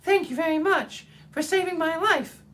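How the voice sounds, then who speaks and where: A squeaky, indignant mouse queen. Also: normal speed, a bit bright. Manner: indignant and regal. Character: tiny proud female mouse queen.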